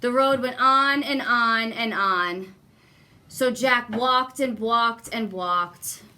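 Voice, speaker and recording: A woman narrates a children's storybook in an expressive style. Her voice is bright and slightly high, and she takes her time, placing deliberate emphasis on phrases for young listeners. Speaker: guest story reader.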